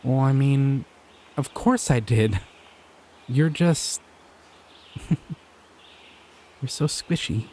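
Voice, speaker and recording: A young man voicing a shy, excitable male slime creature in an audio roleplay, talking in an earnest, flustered, giggly way. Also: medium pitch, very slow, pauses often, a little hiss or hum. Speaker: voice actor.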